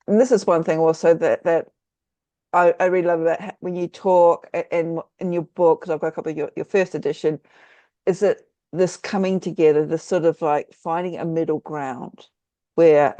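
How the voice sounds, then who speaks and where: A podcast host, chatting casually in an interview with halting, reflective, rambling phrasing. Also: medium pitch, level tone, warm tone, no room sound. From Australia or New Zealand.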